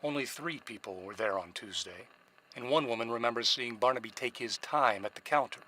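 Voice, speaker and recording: A man's voice: medium pitch, brisk, bright, forceful tone, slight background noise, close to an everyday voice. A man playing a shrewd, cynical private investigator in a radio drama, both narrating and questioning in a noir style. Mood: wry, narrating. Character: shrewd hard-boiled male private investigator, cynical and probing.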